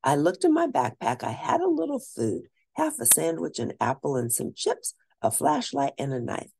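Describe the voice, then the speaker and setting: Female children's book narrator, low mellow register, highly animated and expressive read-aloud delivery. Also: gravelly, slight background noise. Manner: animated, deliberate, lingering on key words. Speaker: storyteller reading a children's book.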